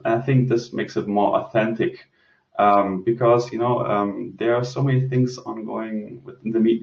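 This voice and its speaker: Distant, roomy man rambling through a chat. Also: medium pitch. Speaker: host and crypto project lead. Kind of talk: casual group Q&A talk. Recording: roomy, distant, dull online-call audio.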